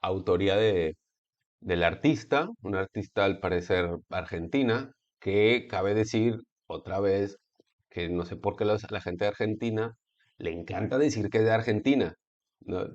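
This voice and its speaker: A man's voice: lowish voice, a little slow. A man with a Mexican Spanish accent giving a casual commentary monologue, rambling with many fillers, restarts and sarcastic asides. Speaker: video essayist. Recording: lo-fi home computer recording.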